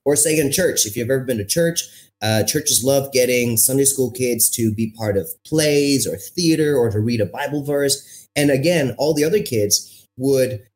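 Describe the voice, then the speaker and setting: A man in his thirties from India, a podcast guest telling his story in an animated way, with emphatic phrasing and pace that keeps shifting. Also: medium pitch, moderate pace. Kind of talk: storytelling interview answer.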